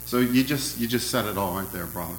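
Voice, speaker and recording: A man preaching an interactive sermon, asking his congregation questions in a loud, friendly voice. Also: on the low side, brisk, reverberant, slight background noise. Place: church hall.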